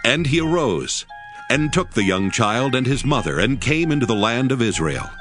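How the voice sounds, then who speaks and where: A man narrating scripture from a script in a solemn, expressive style. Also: medium pitch, normal speed. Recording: music behind the narration. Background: music.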